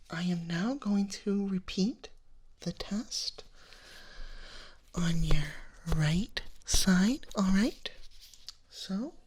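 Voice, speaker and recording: Breathy, whispering dragon nurse, played by a woman. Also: medium pitch. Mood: calm, instructive. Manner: soft, unhurried, reassuring. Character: gentle, reassuring female dragon nurse giving a hearing exam. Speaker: ASMR roleplayer. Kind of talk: whispered ASMR medical roleplay.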